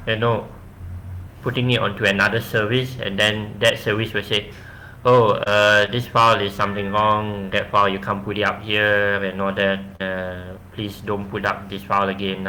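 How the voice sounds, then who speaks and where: A man fields questions about his own project over a video call, explaining haltingly and often restarting his sentences. His voice sits low with very little melody, and his tone is bright and forward. Faint background noise lies under the call. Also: low-quality recording. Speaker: presenter. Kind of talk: casual tech talk Q&A.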